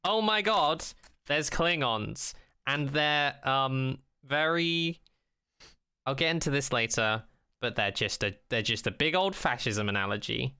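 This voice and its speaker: A man's voice: medium pitch, expressive, unhurried, sharp tone, clear, resonant tone, dry recording, clean studio sound. A man co-hosting a podcast, chatting casually and jokingly with blunt, comically exaggerated emphasis. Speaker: podcast co-host. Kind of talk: casual, joking chat about sci-fi television.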